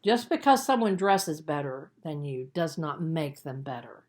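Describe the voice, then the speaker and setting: A woman's voice: low voice, big pitch swings, measured pace, a little mellow. A woman voicing a firm, patient mother advising her teenage son in a children's story, with emphatic, instructive delivery. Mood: firm, reassuring.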